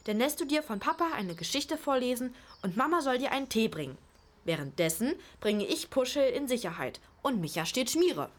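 Schoolgirl eldest sibling played by a female, high-pitched, practical and instructing, children's audio drama. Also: big pitch swings, moderate pace. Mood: planning. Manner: explaining, instructing. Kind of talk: children's radio play acting.

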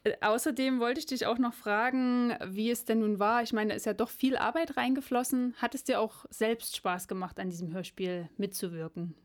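A woman's voice: fairly high pitch, steady tone, normal speed, few pauses. Woman hosting a radio show. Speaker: radio host. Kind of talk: radio show moderation and interview. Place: radio studio.

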